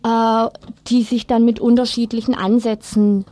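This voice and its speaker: A woman's voice: on the high side, level tone, normal speed, dark tone, no echo. A woman giving a spontaneous, earnest explanation as an interviewee, often correcting herself as she goes. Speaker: women's project organiser being interviewed.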